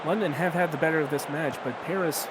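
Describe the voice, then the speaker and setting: A man calls the action of a simulated soccer match in a measured, descriptive way, describing each pass and chance as it happens. Loud noise runs under his voice. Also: a little high. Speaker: play-by-play commentator. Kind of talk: play-by-play commentary.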